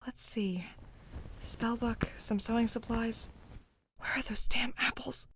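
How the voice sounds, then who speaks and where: A woman's voice: on the high side, fairly even intonation, slow pace, fairly bright tone, in a whisper, low-quality recording. A woman acting a protective, agitated young fugitive woman in a fantasy audio drama, insistent and caring.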